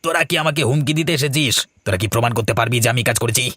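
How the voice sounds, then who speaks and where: A man voicing a scheming, defiant village villain in a cartoon, husky and scornful. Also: mid-range voice, fast, fairly bright tone. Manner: challenging, scornful. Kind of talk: cartoon story voice acting.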